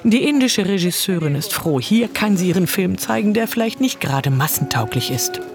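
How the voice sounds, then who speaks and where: A woman reading a scripted culture report voice-over with an expressive delivery. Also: on the low side, moderate pace, music in the background.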